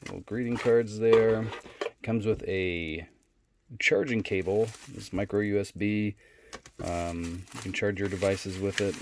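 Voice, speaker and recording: A man giving a casual, unscripted product demonstration in a low-key, halting way. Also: steady tone, background noise. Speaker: product reviewer.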